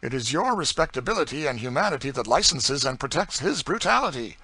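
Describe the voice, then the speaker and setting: A man voicing an earnest, emphatic young gentleman. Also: medium pitch, big pitch swings, a little fast, slightly rough, dry recording, voice pushed up, exaggerated voice. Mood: indignant.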